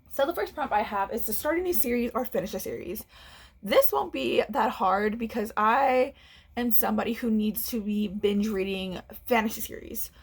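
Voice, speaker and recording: A woman's voice: mid-range voice, vocal fry, breathy edge. A young woman, a book vlogger chatting casually at home, a little congested, rambling and restarting as she talks. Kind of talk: casual chat to camera. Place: home room.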